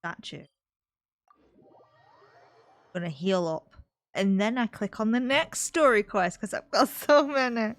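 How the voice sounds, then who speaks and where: A woman's voice: medium pitch, moderate pace, no room sound. A woman streamer giving casual live gaming commentary, chatting and muttering to herself as she plays. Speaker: game streamer. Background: game music.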